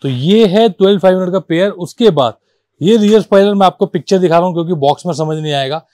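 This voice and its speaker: A man's voice: sits high, quick pace, warm tone, no room sound. A man doing an informal, insistent product walkthrough as a shop seller, repeating himself and mixing in English numbers and terms. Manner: insistent, repetitive, addressing viewers as brother. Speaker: car accessories shop seller presenting stock.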